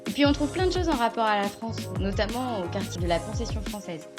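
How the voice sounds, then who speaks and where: A woman's voice: mid-range voice, very animated, fast. A woman narrating a travel show in a casual, personal voice-over. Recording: voice-over with music bed.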